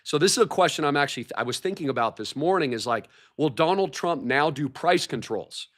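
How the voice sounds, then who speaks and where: A man hosting a livestream, his voice hoarse from a recent cold, talking in an excited, repetitive way about market news. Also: fairly high pitch, fairly quick. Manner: hyped, repeats words like massive and shocking. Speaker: livestream host.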